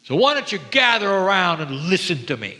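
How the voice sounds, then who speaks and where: A man giving a spiritual sermon to an audience, speaking gently and reverently with deliberate, dramatic pauses. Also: high voice, very animated. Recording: long hall reverb.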